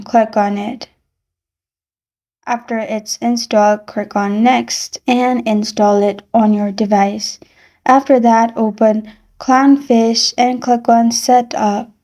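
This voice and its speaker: A woman reading a scripted step-by-step tutorial in an instructional, deliberate manner. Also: fairly high pitch, warm tone. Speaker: tutorial narrator.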